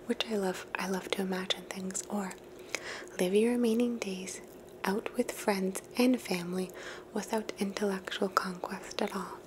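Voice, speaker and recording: A woman whispers softly and without voice, drifting through her thoughts in a slow, reflective way. She is hosting an ASMR video, and her voice sits at a middle pitch. A constant hiss runs underneath her words. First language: English. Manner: soft unvoiced whisper, musing and thoughtful. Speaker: ASMR host.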